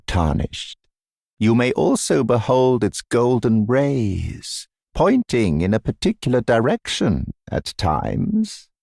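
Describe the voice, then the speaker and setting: Smug fantasy game mentor, low-pitched, creaky, mock-sympathetic, theatrical, sinister, condescending. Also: male voice, a little mellow, no room sound, clean studio sound, heavily acted. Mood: smug, instructive. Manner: mock-sympathetic, theatrical, drawn-out deliberate phrasing.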